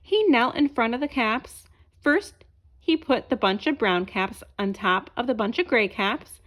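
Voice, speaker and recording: A woman reading a children's story aloud in an expressive narrating style, as if to young listeners. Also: a little high, a little slow. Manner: asks listeners questions as she reads. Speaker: storybook reader.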